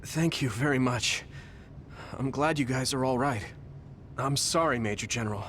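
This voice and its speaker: A man's voice: medium pitch, normal speed, fairly bright tone, slight background noise, natural voice. An actor playing an earnest, bewildered young male soldier hero in a video game, polite and searching. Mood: apologetic, earnest. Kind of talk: scripted video game cutscene acting.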